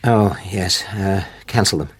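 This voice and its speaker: An actor in a radio drama playing a guilt-ridden, conscientious middle-aged husband and father, hesitant and husky. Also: deep voice, measured pace, a crowd in the background. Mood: weary, evasive.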